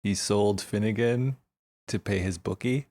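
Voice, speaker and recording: Joking podcast co-host, laughs a lot. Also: male voice, on the low side, measured pace, a little mellow. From Canada. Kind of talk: casual comedic chat.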